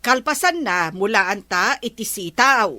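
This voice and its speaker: A woman's voice: on the high side, expressive, unhurried, bright, forceful tone, no room sound. A woman playing a nagging, exasperated wife in an Ilocano comedy drama, scolding emphatically with an Ilocano accent. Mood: exasperated, commanding. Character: nagging, exasperated wife scolding her lazy village-captain husband. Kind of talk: rural comedy radio-style drama acting.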